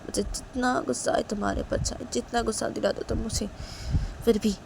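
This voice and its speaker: A woman voicing a remorseful, tender lover in a story, softly and insistently repeating reassurances and promises. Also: on the high side, fairly even intonation, fairly quick, vocal fry, noisy background, voice pushed up. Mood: tender, earnest. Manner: soft, insistent, repetitive reassurance.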